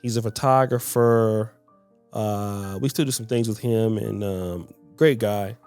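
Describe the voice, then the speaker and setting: Halting man, podcast host. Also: on the low side, steady tone, darkish tone, clear, resonant tone, faint music behind. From United States. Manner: halting, repeats words while searching for phrasing. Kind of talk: casual podcast discussion.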